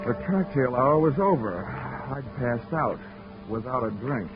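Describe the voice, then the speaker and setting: A man's voice: mid-range voice, expressive, unhurried, lightly acted. An actor playing a wisecracking male insurance investigator who narrates his own case, in a 1940s radio drama with a dry, wry delivery. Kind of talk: 1940s radio acting, first-person narration. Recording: dull 1940s radio recording with music.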